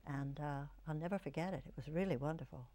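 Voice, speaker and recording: Older actress, reflective storyteller. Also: female voice, low-pitched, fast talker, warm tone. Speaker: actress, interview guest. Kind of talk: reminiscing interview answers.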